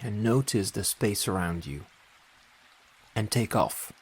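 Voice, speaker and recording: A man leading a guided meditation as narrator, speaking in deliberate short phrases with long pauses between them. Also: fairly low pitch, expressive, a little hiss or hum.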